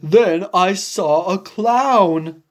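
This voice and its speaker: A woman voicing a big, emotional, needy male elephant from a children's picture book, with dramatic, drawn-out delivery. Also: mid-range voice, pitched below the performer's own voice. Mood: dramatic, regretful.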